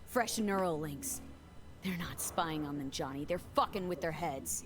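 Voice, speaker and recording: A woman's voice: deep voice, measured pace, music in the background. An actress playing a tough, streetwise young female mercenary in a video game, speaking in terse, blunt, urgent phrases. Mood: focused, grim. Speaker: game character, protagonist V.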